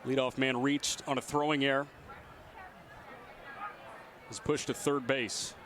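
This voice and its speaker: A man's voice: mid-range voice, level tone, a little slow, a crowd in the background, background noise. A man doing live radio play-by-play commentary of a baseball game. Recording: outdoor stadium ambience behind voice. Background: ballpark ambience.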